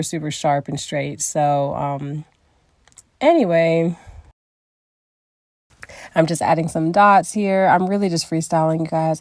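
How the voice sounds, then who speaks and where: Raspy-voiced woman vlogger, low and mellow. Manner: easygoing, meandering and self-deprecating. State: raspy from a cold. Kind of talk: casual vlog narration.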